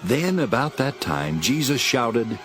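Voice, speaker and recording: A man narrating a dramatized Bible as expressive, scripted storytelling. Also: mid-range voice, slow. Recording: music behind.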